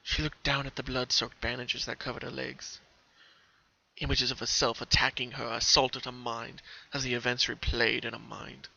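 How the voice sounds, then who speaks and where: A man's voice: mid-range voice, flat delivery, normal speed, gravelly, pushed and bright. A man reading a story aloud as a dramatic scripted narration, on a lo-fi home recording. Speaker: fan fiction narrator.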